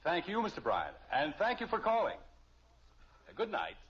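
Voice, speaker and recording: A man's voice: on the high side, huge pitch swings, moderate pace. A man playing an exasperated, easily flustered middle-aged suburban father in 1950s radio comedy style, sputtering and sarcastic. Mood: apologetic. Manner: sputtering, sarcastic, long-suffering. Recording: muffled old radio recording, faint hiss.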